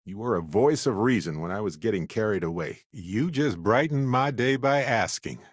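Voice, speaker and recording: A man playing a wry, warm-hearted middle-aged space explorer in a video game, conversational with earnest asides. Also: lowish voice, expressive, normal speed, husky, no echo, clean studio sound. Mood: tender, sincere. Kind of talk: acted video game companion dialogue.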